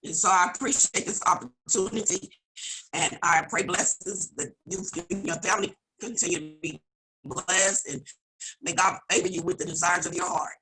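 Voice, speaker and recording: A woman pastor with a slightly raspy voice from a vocal cord implant, speaking earnestly and prayerfully, with halting restarts, on a video call. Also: lowish voice, steady tone, pushed and bright, poor audio. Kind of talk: virtual audience member sharing testimony.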